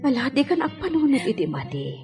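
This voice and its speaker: A woman's voice: high-pitched, sing-song, fast talker, darkish tone. An actress with an Ilocano accent playing a loyal, patient housemaid in a radio drama, deferential and soothing as she reasons with others. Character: loyal, patient housemaid of a hacienda villa, woman. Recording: music bed under the voice.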